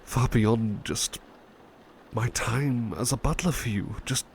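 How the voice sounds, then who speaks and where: A man playing a devoted, humble butler in an ASMR roleplay, speaking softly and tenderly with halting, repeated words. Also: medium pitch, vocal fry, slight background noise. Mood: earnest, tender. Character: devoted, gentle, humble male butler sweetheart. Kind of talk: ASMR roleplay, personal attention.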